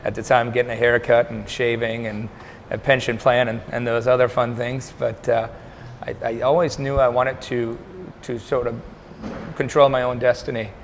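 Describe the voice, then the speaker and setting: Brief: Danish-born man in his thirties, low and gravelly voice, even and measured, faint hiss behind. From Denmark. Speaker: startup incubator co-founder. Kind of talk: interview answers to camera. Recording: faint background noise.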